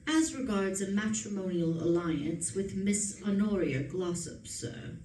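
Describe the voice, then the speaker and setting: A woman playing a composed, formal male valet in a radio play, speaking in a measured, politely deferential way. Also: medium pitch, mellow, close to an everyday voice. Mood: calmly deferential. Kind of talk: stage radio play acting. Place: live performance in a room, distant mic. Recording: roomy, distant, faint noise.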